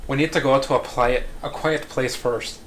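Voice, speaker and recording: Man playing a gruff crime boss. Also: medium pitch, fairly even intonation, moderate pace, fairly bright tone, slight background noise, lightly acted. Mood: controlled. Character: commanding, foul-mouthed male crime boss. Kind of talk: script table read.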